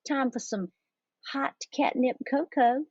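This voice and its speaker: A woman's voice: a little high, very animated, unhurried, a little mellow, breathy edge, no room sound, highs cut off, pitched above the performer's own voice, exaggerated voice. A woman voicing an affectionate but firm mother cat in a storybook, coaxing in a sing-song way. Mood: inviting. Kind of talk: storybook character voice.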